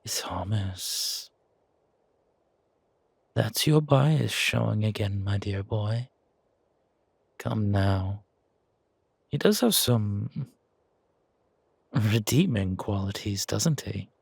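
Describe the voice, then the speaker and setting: Casting: male actor as condescending gentleman leader for audio drama, very raspy and low, measured, with veiled threat. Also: lively intonation, no echo, heavily acted. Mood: amused coaxing. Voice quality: husky, gravelly. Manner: measured, condescending, softly chiding. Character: older-mannered founder, genteel, patronizing, quietly menacing man. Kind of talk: audio roleplay drama acting.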